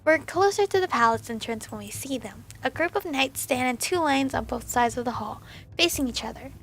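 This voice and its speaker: Hoarse young woman reading a visual novel. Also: sits high, animated, brisk, a bit bright, a little hiss or hum. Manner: casual asides between earnest narration. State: hoarse, losing her voice. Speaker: let's play host.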